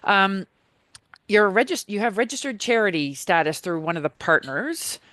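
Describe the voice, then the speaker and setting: A woman's voice: medium pitch, animated, moderate pace, fairly bright tone, no echo. A woman asking questions at a council meeting, hesitant, with many ums as she thinks aloud.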